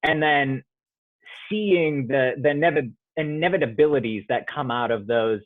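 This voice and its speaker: A man's voice: mid-range voice, normal speed, dry recording. A male playwright answering interview questions thoughtfully, often restarting as he searches for words, heard over a video call. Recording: dry tinny phone-like audio.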